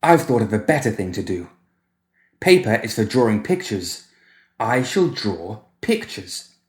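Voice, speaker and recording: A man's voice: on the low side, sing-song, measured pace, echoey room. A man voicing a strange, bossy little boy in a story, with a piping voice and precise, childlike, petulant speech. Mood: excited, bossy.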